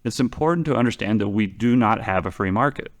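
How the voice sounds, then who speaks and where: A man's voice: fairly low pitch, animated, fast. A man speaking as a podcast commentator, explaining things conversationally in a rambling way, often restarting and repeating phrases for emphasis.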